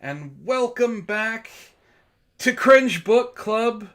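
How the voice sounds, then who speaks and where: A man's voice: very high-pitched, lively intonation, very slow, fairly bright tone. Man hosting a casual livestream. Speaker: host of a book club livestream.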